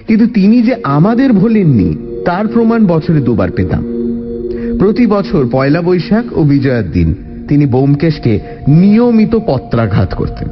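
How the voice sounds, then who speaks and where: A man narrating a scripted literary audio story in an expressive storytelling manner. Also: a little high. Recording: slightly dull recording under loud music. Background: background music.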